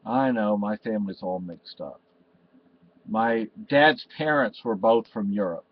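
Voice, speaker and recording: A man's voice: lowish voice, unhurried. An older man from Spain talking to his webcam at home in a meandering, emotional way, full of restarts and "you know". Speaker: vlogger. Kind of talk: rambling personal monologue to camera. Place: cluttered room at home, indoors. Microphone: webcam in front of him. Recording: slightly dull lo-fi webcam audio.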